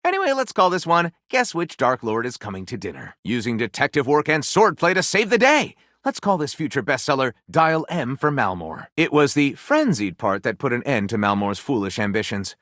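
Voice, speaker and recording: A man's voice: mid-range voice, sing-song, moderate pace, few pauses, fairly bright tone, no room sound, studio quality. A man voicing a grandiose, lewd, self-satisfied storyteller character in a video game, with wry, sarcastic asides. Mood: playfully pompous.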